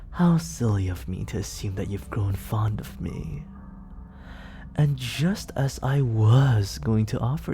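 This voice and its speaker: A man playing a scheming, teasing villain mentor in an intimate ASMR roleplay, with breathy, silky, theatrical delivery up close to the microphone. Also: on the low side, warm tone, a little hiss or hum, full character voice. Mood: teasing, fond. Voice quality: breathy, often dropping into whispers. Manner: theatrical, deliberate, silky persuasion. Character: smooth scheming villain mentor, teasing, grandiose, secretly fond. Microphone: close studio condenser microphone.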